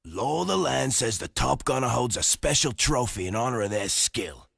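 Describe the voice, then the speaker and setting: An actor playing a gruff, boastful male desert gunner who taunts in folksy slang, in a video game. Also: mid-range voice, lively intonation, normal speed, fairly bright tone, no room sound, studio quality, full character voice. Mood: grudging. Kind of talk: video game character acting.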